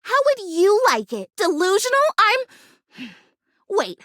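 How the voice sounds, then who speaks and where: Neurotic plastic plant game character, tiny very high voice, lively intonation, earnest, quirky, hopeful. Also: female voice, takes it slowly, dry recording, studio quality, full character voice. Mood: exasperated. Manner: chatty, earnest, quirky, with mild exclamations. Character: anxious, hopeful artificial houseplant longing to be real. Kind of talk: animated video game voice acting.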